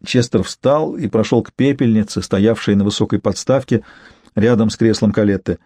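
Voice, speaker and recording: Mellow male audiobook narrator. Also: fairly low pitch, normal speed, no echo. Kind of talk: scripted literary narration.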